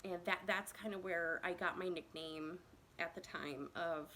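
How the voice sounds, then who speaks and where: A woman's voice: on the low side, fairly even intonation, unhurried, a bit bright, a little hiss or hum. A woman in her thirties from Indiana tells her own story to a phone camera in a casual, rambling way, with many fillers and self-conscious laughs. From Indiana, USA. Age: 30s.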